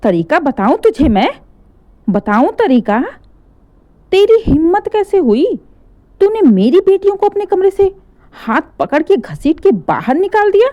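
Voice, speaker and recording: A woman voicing an angry middle-aged mother who scolds and shouts at her son. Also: on the high side, big pitch swings, measured pace, pauses often, lo-fi sound. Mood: furious.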